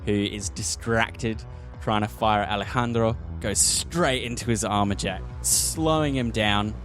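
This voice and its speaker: A man's voice: lowish voice, a little slow, fairly bright tone. A man acting as game master, narrating a tabletop role-playing game and calling out the action. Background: prominent music.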